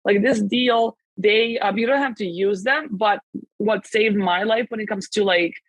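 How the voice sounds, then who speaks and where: A woman whose first language is Serbian speaks as a podcast guest, her voice in a plain middle register for a woman. She talks fast and rambling, with lots of "like" and self-corrections. The recording is close and dry, with no room sound. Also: mid-range voice, no echo, poor audio. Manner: fast, rambling, many fillers and restarts. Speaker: guest, translation agency founder. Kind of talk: casual podcast interview.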